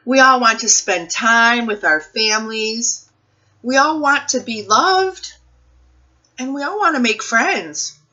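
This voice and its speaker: A woman reading a picture book aloud for children's storytime, phrasing things deliberately and putting questions to her young listeners. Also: on the high side, lively intonation, pushed and bright, dull audio.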